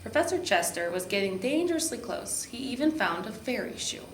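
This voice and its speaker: A woman's voice: on the high side, very animated, brisk. Woman reading a storybook, echoey room. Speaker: park district program supervisor reading to children. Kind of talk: children's storybook narration. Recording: echoey room with background noise.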